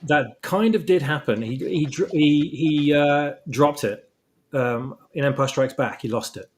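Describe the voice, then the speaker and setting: A man's voice: a little high, unhurried. A man who creates audio dramas, speaking as a podcast guest in a modest, hesitant way. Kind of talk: casual interview chat.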